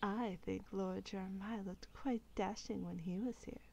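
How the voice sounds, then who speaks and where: Giggly young court gossip, woman acting: girlish, airy, mellow, dreamy; ASMR fantasy roleplay. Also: mid-range voice, fairly even intonation, moderate pace, gravelly, muffled recording, a little hiss or hum, close to an everyday voice. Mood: dreamy, gossipy.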